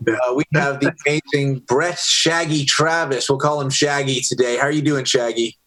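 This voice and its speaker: A man's voice: mid-range voice, fairly even intonation, normal speed, a bit bright, low-quality recording. A man hosting a podcast over a video call, upbeat and enthusiastic. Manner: enthusiastic, hyped-up. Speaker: podcast host.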